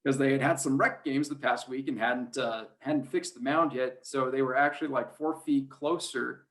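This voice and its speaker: A man's voice: medium pitch, steady tone, moderate pace, a bit bright. A man speaking as an interview guest, a sports announcer telling anecdotes in an easygoing, chatty, self-deprecating way. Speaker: podcast guest, high school sports play-by-play announcer.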